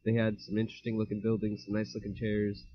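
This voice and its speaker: Easygoing teen male co-host, lowish voice, lo-fi sound. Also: completely flat delivery, fast. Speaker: podcast co-host. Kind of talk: casual chat. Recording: dull lo-fi mic.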